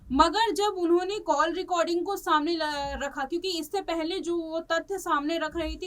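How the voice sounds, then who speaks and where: A woman presenting news commentary in a halting, repetitive way, stretching words as she searches for the next. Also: sits high, a bit bright. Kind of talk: unscripted news commentary on a celebrity case. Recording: distant microphone.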